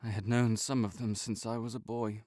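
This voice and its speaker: A man playing a sickly, proud and melancholy nobleman in an intimate audio roleplay, speaking formally with measured, old-fashioned phrasing. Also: fairly low pitch, flat delivery, a little mellow, dry recording, clean studio sound, natural voice. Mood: somber, reminiscing.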